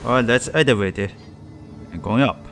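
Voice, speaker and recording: A man's voice: mid-range voice, moderate pace, fairly bright tone, soft background music. A man casually commentating as he plays a game on a live stream.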